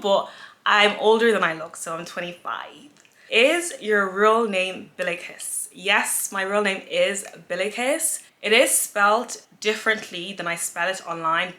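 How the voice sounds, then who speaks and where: A woman's voice: medium pitch, sharp tone. A woman in her twenties from Nigeria, chatting casually and giggling as she answers viewer questions in a sit-down vlog. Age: 20s. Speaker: influencer, vlogger.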